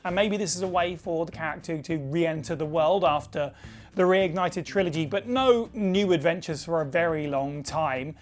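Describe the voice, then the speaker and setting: A man's voice: on the high side, normal speed. A man presenting a casual gaming opinion piece, chatty and enthusiastic, with fillers along the way. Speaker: video presenter.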